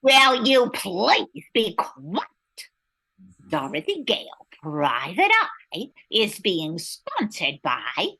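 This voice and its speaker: A woman's voice: mid-range voice, wildly swooping pitch, slow, bright, forceful tone, breathy edge, exaggerated voice. A woman playing a formal, easily exasperated announcer and narrator in an old-time radio show.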